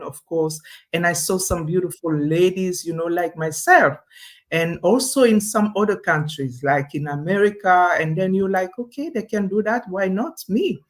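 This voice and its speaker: Woman, from Congo, interview guest, chatty, fillers, video call. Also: fairly low pitch. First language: French. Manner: chatty, laughs often, many fillers and restarts. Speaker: guest, online business owner. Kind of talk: casual interview talk.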